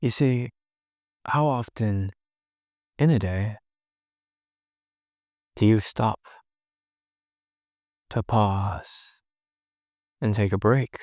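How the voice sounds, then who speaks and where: A man leading a guided meditation in short, gently instructive phrases with long pauses between them. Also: fairly low pitch, no room sound, clean studio sound.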